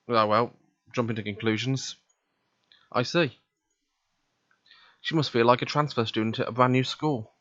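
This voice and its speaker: A man's voice: medium pitch, a bit bright, muffled recording. A man reading a visual novel aloud in a casual, conversational way, acting out dialogue as he goes. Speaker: let's play reader voicing the protagonist.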